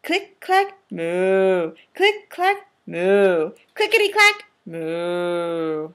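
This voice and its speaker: A woman's voice: fairly low pitch, wildly swooping pitch, drawn-out words. A woman teacher reading a children's picture book aloud, expressively and with careful, instructive phrasing. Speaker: teacher demonstrating a read-aloud.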